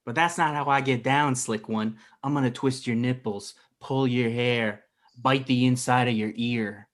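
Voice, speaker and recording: A man's voice: medium pitch, fairly even intonation, measured pace, lightly acted. An anxious young man played by a man at a table read. He is an indignant, slightly goofy boyfriend pleading his case in a naturalistic script over a video call. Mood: goofy, combative. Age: young adult. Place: small room at home, video call.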